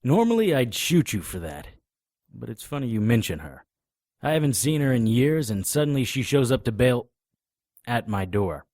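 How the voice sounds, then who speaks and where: A man's voice: medium pitch, sing-song, moderate pace, a little mellow, dry recording, studio quality. A man playing a wry, stubborn occult investigator who narrates and argues in a noir audio drama. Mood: wry, measured. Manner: sardonic, confrontational.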